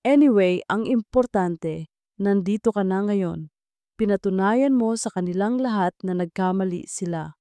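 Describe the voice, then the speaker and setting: Woman voicing a friendly, mellow young office guy. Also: medium pitch, unhurried, clean tone, no room sound, lightly acted. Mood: reassuring. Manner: warm, encouraging, casual Taglish. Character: friendly, helpful young male office team leader. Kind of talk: audio drama storytelling.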